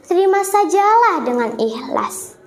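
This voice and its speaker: A woman's voice: extremely high pitch, big pitch swings, a little slow, heavily acted. A young female storyteller voicing a poor, widowed village mother, sad and resigned, in a roomy, echoing recording.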